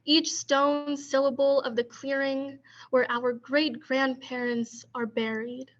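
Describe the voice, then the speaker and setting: A woman co-curator hosting a poetry gathering on a video call, reading a poem in a measured way and then talking casually with a few ums. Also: high voice, fairly bright tone, highs cut off. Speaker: co-curator and discussion host. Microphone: video call computer mic.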